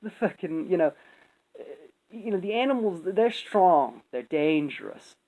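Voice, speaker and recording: Opinionated commentator, rambling and emphatic, very animated delivery with a creaky edge. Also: medium pitch, measured pace, narrow, tinny audio. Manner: rambling, emphatic, frequent swearing. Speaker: video commentator.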